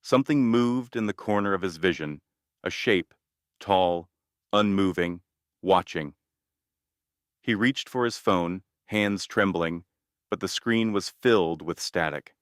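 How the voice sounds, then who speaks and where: Deep voiced guy doing scary story narration, ominous n measured, super dry sound. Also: clear, resonant tone, dry recording.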